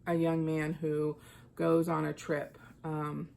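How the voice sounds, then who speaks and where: A woman's voice: low-pitched, monotone, takes it slowly, vocal fry, darkish tone, slight background noise. A woman giving an informal, conversational book review, thinking aloud as she goes. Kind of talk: casual book chat vlog.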